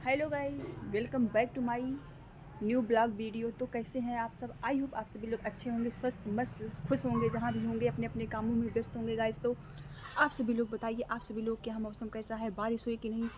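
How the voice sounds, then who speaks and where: A woman's voice: on the high side, little pitch movement, moderate pace, noisy background. A young woman from Ambedkar Nagar, chatting casually to her vlog viewers outdoors by a guava tree, recorded on a phone and repeatedly addressing them as guys. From Ambedkar Nagar, Uttar Pradesh, India. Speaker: vlogger.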